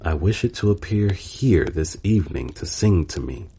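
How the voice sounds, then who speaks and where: An imperious emperor from a storybook, read by a man, low and husky in voice. He speaks with pompous command and an air of indignation. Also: expressive, moderate pace, mellow, exaggerated voice. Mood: displeased. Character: imperious, indignant emperor of a fairy-tale court.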